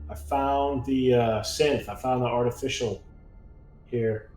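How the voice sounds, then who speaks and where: A man playing a tabletop game in casual banter, speaking haltingly and repeating his words. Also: medium pitch, fairly even intonation, darkish tone, soft background music. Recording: roomy, echoing sound.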